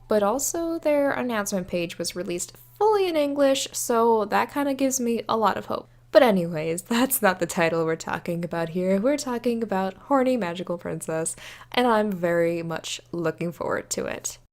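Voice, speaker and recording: A woman's voice: mid-range voice, animated, normal speed, darkish tone. A young adult woman giving casual, informal video commentary on games in a chatty, enthusiastic way. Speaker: video creator. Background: background music.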